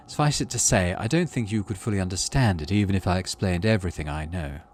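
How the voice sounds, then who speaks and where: A man voicing a calm, teasing, cryptic alien forest guide in a visual novel game, with a wry, deliberate manner. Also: sits low, a little hiss or hum, lightly acted. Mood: musing.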